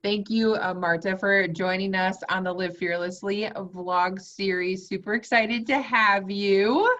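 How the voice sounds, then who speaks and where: A woman hosts a video interview, her voice at an average pitch. She talks with eager enthusiasm and lets her thoughts ramble, and the recording sounds dull and lo-fi. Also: steady tone, a little slow, fairly bright tone. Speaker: host. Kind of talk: interview conversation.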